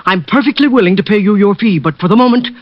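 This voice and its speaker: A prim, evasive rich client with a thin, high voice. He talks nervously and primly, and the sound is dull, dry and old-time radio. Classic 1950s radio drama. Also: expressive, fast talker, no echo. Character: fussy secretive wealthy male client.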